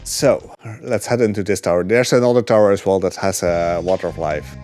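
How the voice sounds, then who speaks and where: Low-pitched male speedrunner, easygoing, filler-heavy live commentary, faint game music behind. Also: fairly quick. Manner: relaxed, rambling, many filler ums and uhs. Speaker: speedrunner commentating his run.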